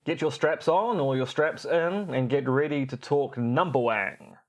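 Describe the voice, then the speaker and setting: A man's voice: medium pitch, unhurried, highs cut off, a crowd in the background. Wry, digressive man co-hosting a podcast. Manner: wry, mock-serious joking, digressive. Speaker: podcast co-host. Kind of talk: casual, bantering podcast chat. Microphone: lapel mic.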